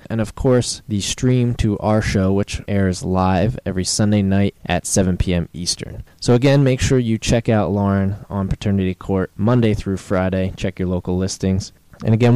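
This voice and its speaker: A man co-hosting a radio show, giving a steady promotional read of the show's links and schedule. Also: low voice, clean tone, no room sound. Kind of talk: scripted outro announcement.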